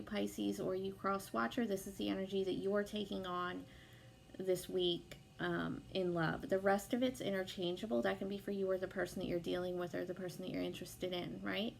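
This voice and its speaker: Hoarse, gravelly-voiced sick woman. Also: medium pitch, a little hiss or hum, low-quality recording. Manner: chatty, frequent fillers, casual swearing. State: sick, losing her voice. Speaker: tarot reader. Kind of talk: casual tarot reading to camera.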